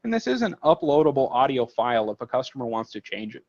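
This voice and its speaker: A man's voice: mid-range voice, very animated, fast, darkish tone, lo-fi sound. A man giving a conversational technical product tutorial as a trainer, explaining informally and rambling a little through casual examples.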